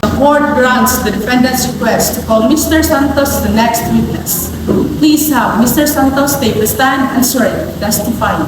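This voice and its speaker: A woman's voice: fairly high pitch, normal speed, very noisy, close to an everyday voice. A young woman with a Filipino accent playing a composed, formal judge in an amateur courtroom play, with heavy echo. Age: young adult. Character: formal, composed female judge presiding over a trial. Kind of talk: amateur stage courtroom drama, scripted delivery. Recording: very echoey room, music behind.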